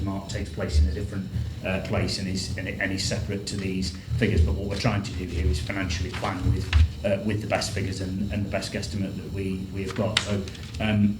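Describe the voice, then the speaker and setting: A man leading a formal council committee debate in a procedural, measured way. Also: deep voice. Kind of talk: formal committee meeting speech. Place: council meeting room. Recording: roomy chamber with background noise.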